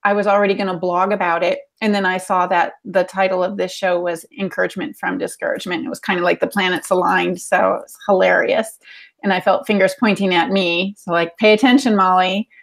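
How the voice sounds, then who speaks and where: A woman's voice: fairly low pitch, level tone, a little fast, vocal fry. A woman co-hosting a podcast in casual chat, with a chatty, effusive way of talking. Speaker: podcast co-host.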